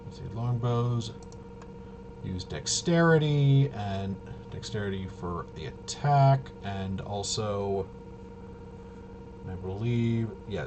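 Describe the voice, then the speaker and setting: A man casually talking himself through a tabletop game walkthrough, halting and unscripted, muttering as he thinks. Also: lowish voice, noisy background. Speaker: host of a tabletop roleplaying video.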